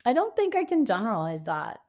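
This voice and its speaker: A woman's voice: a little high, wildly swooping pitch, fairly quick, darkish tone. A woman speaking as a coach and guest in a conversational podcast interview, reflective and emphatic in her phrasing.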